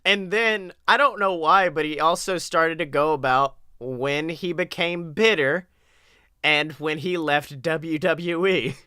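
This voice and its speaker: Bright, clear-voiced young man, animated podcast co-host. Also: a little high, slow, dry recording, studio quality. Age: young adult. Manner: animated, profane, jokey. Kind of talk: casual, joking wrestling podcast banter. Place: small room at home, on a video call.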